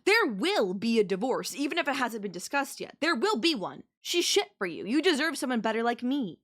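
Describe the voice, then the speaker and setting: A woman's voice: on the high side, a bit bright. A woman playing a manipulative young babysitter who is obsessively in love with her employer, speaking in a conversational, possessive and defensive way in an intimate audio roleplay. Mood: desperate, indignant. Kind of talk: anime-style audio roleplay acting, intimate one-to-one.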